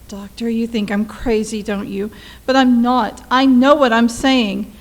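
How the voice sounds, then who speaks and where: A woman's voice: a little high, fairly even intonation, a little slow. A woman playing an anxious, pleading wife in a radio play, urgent and insistent. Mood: desperate. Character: anxious, pleading young wife fearing murder.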